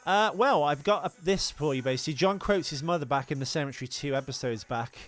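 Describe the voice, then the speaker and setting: A man's voice: fairly high pitch, quick pace, fairly bright tone, soft background music. British man in casual, sweary podcast banter. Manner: informal, sweary, explanatory. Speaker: podcast co-host.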